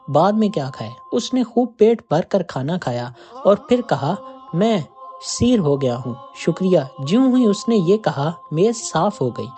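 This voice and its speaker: A storyteller reading a scripted moral folk tale in an expressive way. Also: medium pitch.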